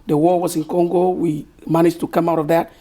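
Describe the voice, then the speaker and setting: Man, middle-aged, Cameroonian accent, mellow breathy voice, unhurried interview answers. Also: on the high side, little pitch movement. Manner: slow, thoughtful, searching for words. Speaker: author guest.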